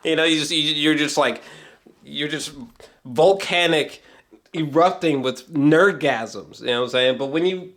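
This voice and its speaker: Slow-talking, geeky man rambling about films. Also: a little high, a bit bright. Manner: geeky, gushing, swears freely; halting, repeats himself, often breaks into chuckles. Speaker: amateur film reviewer and vlogger. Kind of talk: casual rambling film review chat.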